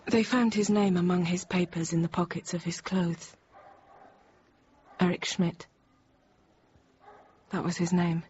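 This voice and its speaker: An actress playing a thirty-year-old Parisian teacher, recalling an ordeal in measured, halting, controlled radio drama narration. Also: female voice, lowish voice, fairly even intonation, a little mellow, breathy edge. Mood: reflective, numb. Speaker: lead actor and narrator. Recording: dull, noisy radio drama recording.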